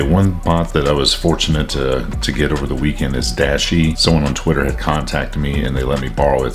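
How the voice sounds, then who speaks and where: A young man with a congested, stuffy voice, chatting casually to camera in a vlog, with frequent ums and uhs. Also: a bass voice. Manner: chatty, self-narrating, frequent uh and um. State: congested from a sinus infection. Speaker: sneaker bot vlogger.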